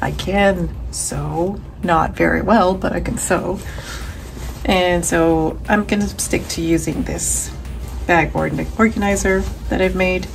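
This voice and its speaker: Low-pitched woman with heavy vocal fry. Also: steady tone, slow pace, soft background music, poor audio. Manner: informal, thinking aloud. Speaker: crochet crafter and vlogger. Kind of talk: casual craft vlog narration.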